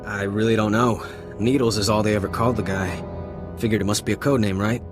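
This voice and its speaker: A man's voice: fairly low pitch, steady tone, a little fast, lightly acted. An actor playing a cocky young male ensign who jokes sarcastically and stammers when scolded, in a game cutscene. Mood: wry. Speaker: rescued junior officer. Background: faint music.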